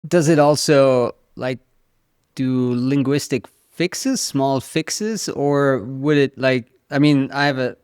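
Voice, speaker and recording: Smooth-voiced man with a German accent. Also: mid-range voice, steady tone, slow, a little mellow, no echo, studio quality. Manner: questions worded and rephrased on the fly. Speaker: podcast host. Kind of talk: interview questions.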